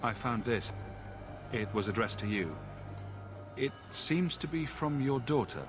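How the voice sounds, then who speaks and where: A man's voice: mid-range voice, expressive, measured pace, music in the background, lightly acted. A man playing a composed, loyal adventurer hero in a fantasy game, speaking sincerely and plainly. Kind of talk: dramatic game cutscene acting.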